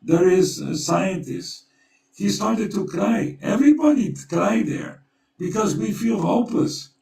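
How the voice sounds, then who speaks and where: A man's voice: high-pitched, very animated, measured pace, vocal fry, dark tone, far from the mic. A man whose first language is Dutch, speaking English with a Dutch accent, telling a story and urging listeners, often repeating his words. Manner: urgent, storytelling, repeats himself. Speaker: co-host. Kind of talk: earnest conversational appeal. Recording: echoey room, away from the mic.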